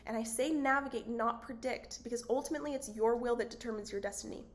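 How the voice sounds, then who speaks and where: A woman hosting her own channel in a casual, chatty vlog, her voice raspy and hoarse, with frequent fillers and little laughs. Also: fairly high pitch, fast. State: hoarse, voice cutting out. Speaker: channel host. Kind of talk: casual spiritual vlog talk.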